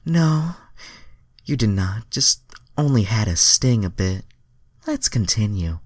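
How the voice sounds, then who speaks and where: A man voicing an eager young female alien heroine from fan fiction in an exaggerated, pleading falsetto. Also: very animated, slow pace, no echo, clean studio sound, heavily acted. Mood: pleased, reassuring.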